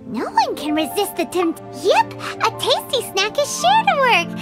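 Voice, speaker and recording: An actress playing a tiny, cheeky, childlike fairy companion in a video game with a squeaky, bubbly delivery. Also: female voice, wildly swooping pitch, slow, heavily acted. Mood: cheeky, scheming. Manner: bubbly, third-person self-reference, blunt. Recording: loud game music under voice. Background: children's-style music.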